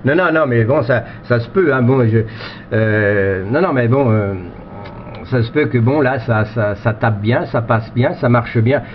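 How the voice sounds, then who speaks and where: A man's voice: mid-range voice, measured pace. A man chatting casually as an amateur radio operator, rambling and often repeating himself as he searches for words. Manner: hesitant, repetitive, rambling delivery. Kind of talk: casual radio contact chat with ham jargon. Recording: dull lo-fi radio-station audio, faint noise.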